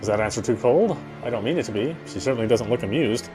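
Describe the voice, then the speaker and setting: A man's voice: mid-range voice, animated, fast, music in the background, lightly acted, rough recording. A man playing a wry, self-justifying British husband, confiding his brooding inner monologue as first-person game narration. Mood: puzzled, wry.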